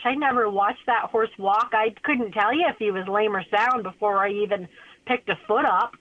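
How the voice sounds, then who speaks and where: A woman's voice: a little high, no room sound. Woman talking over a tinny phone line. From New Hampshire. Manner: rambling, with many fillers. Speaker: farrier, hoof care provider. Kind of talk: phone interview.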